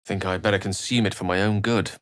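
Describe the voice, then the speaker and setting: An actor voicing a wry, bookish human wizard in a video game, speaking with a British accent in an articulate, theatrical and wordy manner. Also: male voice, low-pitched, fairly even intonation, fast talker, no room sound, clean studio sound, close to an everyday voice. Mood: wry, musing.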